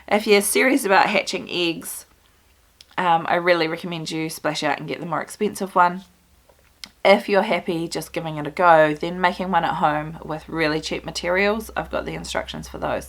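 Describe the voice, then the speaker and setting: A woman with a New Zealand accent and a croaky voice, chatting through a homestead how-to with frequent ums. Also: on the low side. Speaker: homestead video host. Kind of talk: casual tutorial. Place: small music studio indoors.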